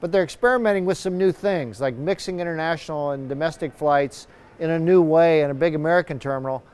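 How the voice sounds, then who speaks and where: A man's voice: on the high side, quick pace, a little mellow, smooth. A man speaking as a professor and expert guest, giving conversational, explanatory commentary in a news interview.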